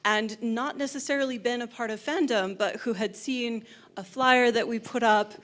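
A woman's voice: a little high, moderate pace, a bit bright. A woman presenting on a handheld mic in a large hotel function room, upbeat and eager. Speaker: convention presenter. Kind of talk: panel presentation. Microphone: handheld microphone.

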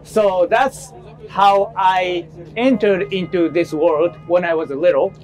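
A Japanese man in his thirties, a film director, answering interview questions outdoors by the sea in English with a Japanese accent, often pausing with fillers and restarting. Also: high voice, soft background music, poor audio. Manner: hesitant, many fillers and restarts. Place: seaside promenade, outdoors.

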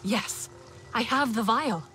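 Determined young alien warrior, highish. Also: female voice, slow pace, bright, forceful tone, faint music behind. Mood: urgent, reassuring. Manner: earnest, insistent. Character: young determined female Na'vi, last of a massacred clan. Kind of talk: dramatic video game cutscene acting.

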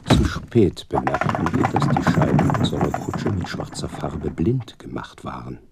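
An actor playing a sentimental, courteous gentleman companion who narrates a detective radio drama in a gravelly, husky voice. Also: extremely low pitch, expressive, a little slow, very noisy, exaggerated voice. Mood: narrating.

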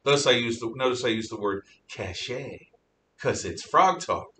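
A man's voice: normal speed, fairly bright tone. Older American man lecturing, distant and echoey. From northeastern United States, around New York City and New England. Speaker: history teacher. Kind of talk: classroom lecture. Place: classroom, indoors. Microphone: distant camera at back of room. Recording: roomy, distant microphone, noticeable hiss and rumble.

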